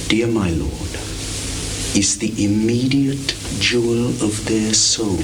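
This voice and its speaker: An actor playing a scheming, falsely humble soldier in a live Shakespeare reading, speaking deliberately with theatrical projection and dramatic pauses. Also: male voice, mid-range voice. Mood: grave warning. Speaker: stage actor. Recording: noisy, hissy 1980s live theatre recording.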